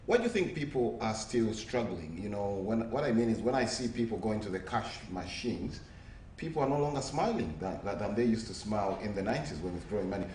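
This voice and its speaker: Male interviewer, low voice, roomy far-off sound. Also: lively intonation, brisk, a little mellow. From Zambia. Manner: rambling, filler-heavy questions. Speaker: TV show host. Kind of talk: interview questions. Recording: roomy, distant mic, faint noise.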